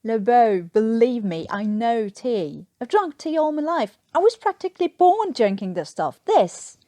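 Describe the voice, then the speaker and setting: A woman's voice: a little high, very animated, measured pace, warm tone, dry recording, voice pushed up. A woman voicing an indignant, sardonic English airman with a Cockney accent in a story reading. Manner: emphatic, exasperated.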